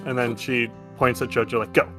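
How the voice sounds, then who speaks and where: A man's voice: medium pitch, steady tone, normal speed, bright, forceful tone, soft background music. A man narrating a tabletop game as game master in a casual, improvised, conversational way.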